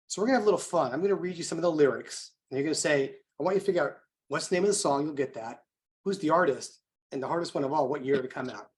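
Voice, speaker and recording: An American man speaking as an event host, delivering an emphatic, rhetorical motivational introduction with deliberate phrasing. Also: fairly high pitch. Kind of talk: motivational speech introducing a guest.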